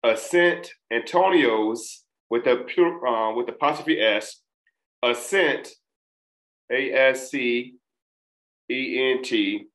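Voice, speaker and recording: Guy from a trinidad family teaching vocab, slow careful enunciation, roomy webcam sound. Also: medium pitch, a bit bright. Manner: deliberate, enunciating each syllable. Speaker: test prep instructor. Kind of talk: recorded lesson. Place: small room, indoors. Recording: echoey room.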